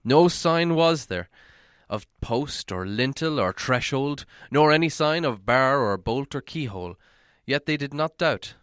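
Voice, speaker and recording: A man's voice: mid-range voice, lively intonation, measured pace, a bit bright, clean tone, no echo, clean studio sound. A man narrating a fantasy novel aloud as an audiobook reader. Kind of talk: storybook narration, read aloud.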